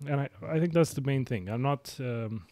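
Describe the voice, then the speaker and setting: A man's voice: mid-range voice, warm tone, no room sound. Romanian-accented man, dry studio sound. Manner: measured, with many fillers and self-corrections. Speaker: lung specialist physician, podcast host. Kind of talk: explanatory medical monologue. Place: small dark studio. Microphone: studio mic on boom arm.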